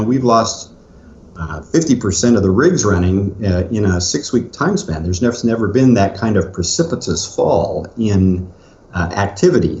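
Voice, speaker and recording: A middle-aged man co-hosting a podcast, explaining things in a measured way with frequent fillers. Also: mid-range voice, darkish tone. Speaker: podcast co-host. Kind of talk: casual conversational podcast. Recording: roomy, muffled remote audio.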